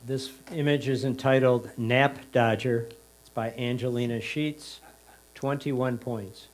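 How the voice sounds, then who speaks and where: A man's voice: medium pitch, fairly even intonation, takes it slowly. A man plainly announcing entries and scores at a competition. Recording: faint mains hum.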